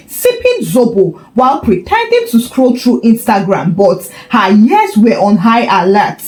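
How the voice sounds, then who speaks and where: A woman narrating a folktale in an expressive, dramatic way. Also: mid-range voice, roomy sound, poor audio. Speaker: storyteller. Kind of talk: scripted story narration.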